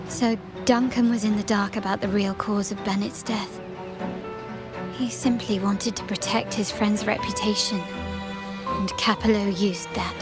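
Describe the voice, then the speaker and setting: A woman's voice: medium pitch, close to an everyday voice. A woman voicing a poised, proud and resolute young heiress in dramatic video game dialogue. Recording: music behind the voice.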